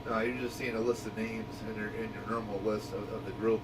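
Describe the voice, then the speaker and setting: A man's voice: on the low side, little pitch movement, brisk, distant mic, heavy background noise. A man hosting a radio group in a folksy, easygoing way, explaining things in casual instructional chat. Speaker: radio shop owner hosting a walkie-talkie group channel.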